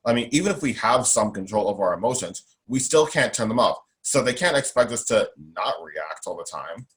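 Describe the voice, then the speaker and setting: A man's voice: lowish voice, animated, fairly quick, a bit bright, rough recording. A young man speaking as an interview guest, emphatic and outspoken, with a strong voice. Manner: emphatic, outspoken, repeats himself. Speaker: guest, self-advocate. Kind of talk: interview conversation.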